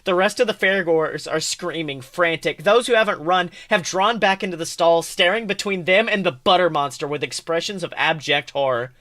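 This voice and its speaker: A man co-hosting a podcast, reading fan fiction aloud as an expressive narrator. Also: fairly high pitch, normal speed, few pauses. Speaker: podcast co-host.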